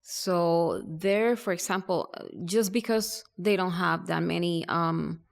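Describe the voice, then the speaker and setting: A woman's voice: fairly low pitch, takes it slowly, vocal fry. Hesitant woman doctor. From Puerto Rico. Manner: hesitant, many fillers, thoughtful. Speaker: primary care doctor. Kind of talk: interview answers.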